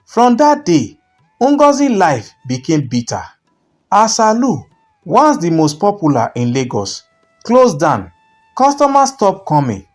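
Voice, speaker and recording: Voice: mid-range voice, wildly swooping pitch, measured pace. A narrator telling a scripted folktale in a dramatic storytelling style.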